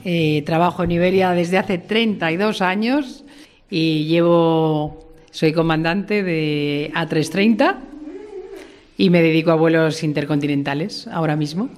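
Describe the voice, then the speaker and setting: A woman's voice: low-pitched, measured pace, a little mellow. Matter-of-fact female airline captain.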